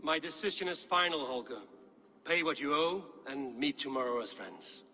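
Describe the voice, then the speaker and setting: A commanding Viking chief, somewhat high and forceful. He speaks firmly and with measured authority, as a game hero who expects to be obeyed. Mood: stern, judicial. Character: stern, commanding Viking clan leader passing judgment. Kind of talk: dramatic video game acting.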